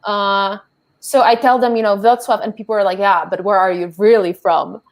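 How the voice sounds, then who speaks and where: A young woman from Poland, a vlogger, talks through a casual interview at a middle pitch. She sounds reflective and leans on "you know" as she works out her thoughts. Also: steady tone, moderate pace. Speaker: guest, vlogger.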